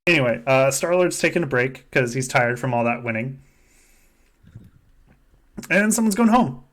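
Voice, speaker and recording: A man's voice: mid-range voice, animated, normal speed, fairly bright tone. Jokey, sweary man chatting casually. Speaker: podcast host running a fan survivor game. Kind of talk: casual joking chat.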